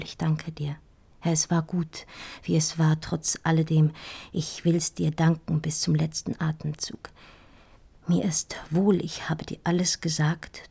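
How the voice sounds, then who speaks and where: An actress playing a heartbroken, dying woman in an intimate radio drama monologue, speaking in halting, feverish, anguished whispers with repeated words. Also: sits low, gravelly, mellow, husky, heavily acted. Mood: weak, tender farewell. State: feverish, weak, grieving. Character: heartbroken dying woman writing a farewell love letter.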